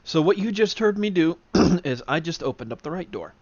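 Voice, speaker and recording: A man giving a casual spoken tutorial as an enthusiast, in a halting way with frequent throat clearing. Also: a little high, lively intonation, darkish tone, no room sound. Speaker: demonstrator, flight simulator enthusiast. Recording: dull lo-fi close dry recording.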